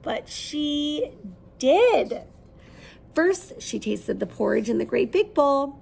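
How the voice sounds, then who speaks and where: A woman's voice: high-pitched, big pitch swings, slow pace, gravelly, darkish tone, rough recording. A woman reading a children's story aloud expressively, putting questions to her listeners as she goes.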